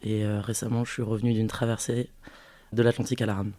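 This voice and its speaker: A man in his twenties, a podcast guest who was born in French Guiana, talks through his answers with frequent pauses and restarts. His voice is mid-pitched and stays flat, with little rise or fall. The sound is dry and close, with no room echo. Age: 20s. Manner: hesitant, thinking aloud with many pauses. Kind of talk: casual interview testimony.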